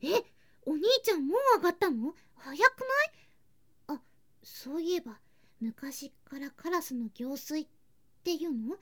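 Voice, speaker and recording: A woman voicing a sweet, affectionate younger girl cousin in a Japanese ASMR situation drama, in a cutesy, clingy manner with soft whispery touches. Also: high-pitched, animated, a little slow, long pauses. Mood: affectionate, confiding.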